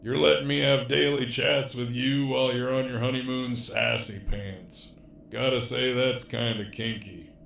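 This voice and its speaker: An actor playing a cocky, sardonic imprisoned villain in an audio drama, with a gravelly, drawling delivery and a taste for profanity. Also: male voice, medium pitch, steady tone, measured pace, fairly bright tone. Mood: smug, playful. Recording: faint background noise.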